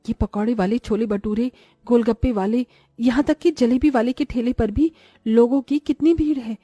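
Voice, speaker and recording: A woman acts out a greedy, envious young wife from a cartoon moral story. Her voice is fairly high and soft-edged, with a nagging, sharp-tongued manner. It is recorded close and dry over music. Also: fairly even intonation, moderate pace, no echo, natural voice. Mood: scheming.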